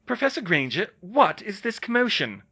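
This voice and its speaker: A man's voice: high-pitched, sing-song, moderate pace, bright, forceful tone, husky, pitched above the performer's own voice, full character voice. A man reading aloud and voicing a stern, authoritative older headmistress. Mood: demanding.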